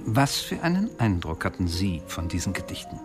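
Ein Schauspieler spielt im Hörspiel einen bedrückten, nachdenklichen Akademiker, der behutsam berichtet. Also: male voice, low voice, sing-song, normal speed, music in the background. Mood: uneasy, reflective. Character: troubled, reflective male academic, recalling a colleague. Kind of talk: radio drama dialogue.

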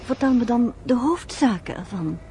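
A woman's voice: on the high side, expressive, normal speed, warm tone. An actress in a radio play voicing an earnest woman who pleads to understand, imploring in her phrasing. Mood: earnest pleading. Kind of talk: radio drama acting. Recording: slightly noisy old radio recording.

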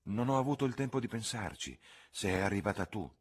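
A man's voice: fairly low pitch, lively intonation, a little fast, slightly rough, pitched above the performer's own voice. A man voicing a reserved, troubled young woman in an audiobook reading. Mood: grave. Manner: grave, hesitant, guarded.

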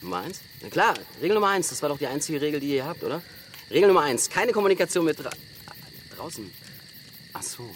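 An actor playing a cheeky young backpacker in a German radio drama, flippant, slangy and rambling. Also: male voice, a little high, big pitch swings, fast, a little hiss or hum. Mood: eager, unsure.

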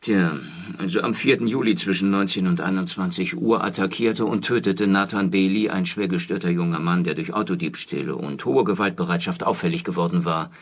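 An actor playing a stern state prosecutor in a radio drama, speaking in formal, officious, measured legal phrases. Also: male voice, low-pitched. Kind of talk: radio drama acting as talk show guest.

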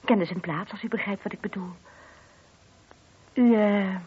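Husky, gossipy married witness, muffled. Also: female voice, medium pitch, measured pace. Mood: coy, guarded. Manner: hesitant, confiding, chatty. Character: discreet, gossipy married woman, defensive witness. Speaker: actor in a radio play. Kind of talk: Dutch radio drama dialogue. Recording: muffled lo-fi old radio recording.

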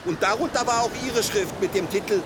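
Actor as a high-voiced, florid Berlin musician. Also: male voice, fairly quick, close to an everyday voice. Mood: earnest, exalted. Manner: florid, pompous. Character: exalted, hypochondriac male Berlin musician, eager vegetarian convert. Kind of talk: German radio drama acting. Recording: noisy outdoor street ambience, vehicles.

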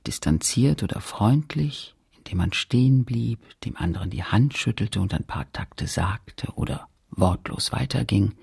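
A man with a raspy, gravelly voice reading sober literary narration in a radio play. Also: mid-range voice, a little mellow, heavily acted.

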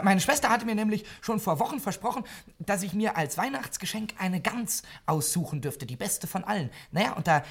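A man's voice: sits high, gravelly, slightly rough, full character voice. An actor playing a nervous male thief who stammers and pleads as he confesses, in 1950s radio drama style.

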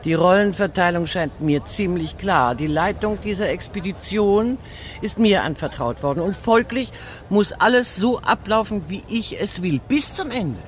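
A woman's voice: mid-range voice, animated, moderate pace, a little hiss or hum, close to an everyday voice. An actress playing a worldly, authoritative older woman who leads an expedition in a German radio play. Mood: firm, decisive. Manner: authoritative, decisive.

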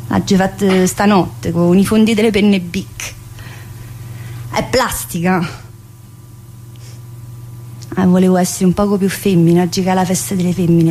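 A woman's voice: mid-range voice, mellow, a little hiss or hum, lightly acted, poor audio. A woman playing a cheeky, needling female prison inmate in a colloquial theatre monologue, with a southern Italian accent and a teasing, familiar manner. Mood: aggrieved. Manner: teasing, familiar, full of rhetorical questions. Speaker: stage actress performing a monologue. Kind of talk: theatrical monologue in colloquial dialect-tinged speech.

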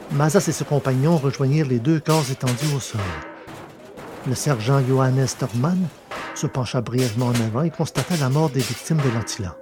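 A man reading a scripted science-fiction story as its narrator. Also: medium pitch.

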